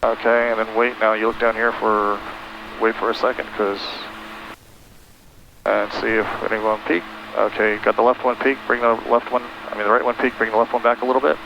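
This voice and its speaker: A middle-aged American man with a low, gravelly voice gives casual flight instruction. He coaches patiently, one step at a time, over a headset with a clear engine drone behind him. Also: completely flat delivery, fairly quick, muffled recording, background noise. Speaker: pilot instructing a student. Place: small twin-engine plane cockpit in flight. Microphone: aviation headset intercom.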